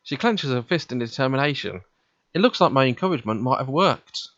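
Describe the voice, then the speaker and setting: A man with a mid-pitched voice hosts a let's play in a casual, slightly halting way. The sound is dull and very dry, like a simple home microphone. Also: animated, fairly bright tone, dry recording. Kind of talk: reading visual novel narration aloud, casual commentary.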